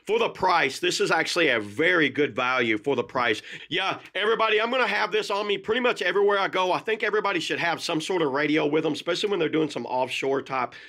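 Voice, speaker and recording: A man's voice: on the high side, fast, hardly any pauses, pushed and bright. A middle-aged man giving a casual hands-on product review in informal, folksy phrasing. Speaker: gear reviewer, host. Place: indoors at a desk.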